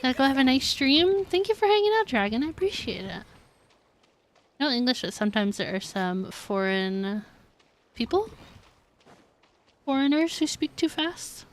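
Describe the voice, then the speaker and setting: A woman's voice: high voice, sing-song, moderate pace, pauses often. A woman chatting casually on a game live stream, answering viewers and sometimes mumbling her words. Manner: mumbles at times, reads and answers chat. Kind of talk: casual live-stream chat while gaming.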